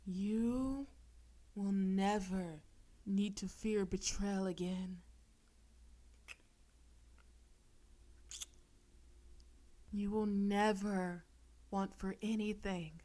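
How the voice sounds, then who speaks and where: Slow, possessive demon girlfriend, voiced by a woman. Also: medium pitch, steady tone, close to an everyday voice. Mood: possessive, tender. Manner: slow, teasing, softly commanding, lapsing into breathy whispers. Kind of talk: intimate audio roleplay addressed to the listener.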